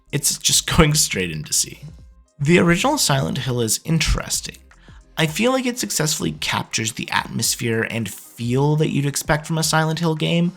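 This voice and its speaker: A man's voice: on the high side, vocal fry, a bit bright. A man giving opinionated, emphatic review commentary as a video host.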